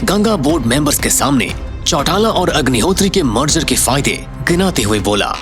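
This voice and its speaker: A man's voice: a little high, very animated, normal speed, music in the background. A man narrating a scripted Hindi audio story in a dramatic style.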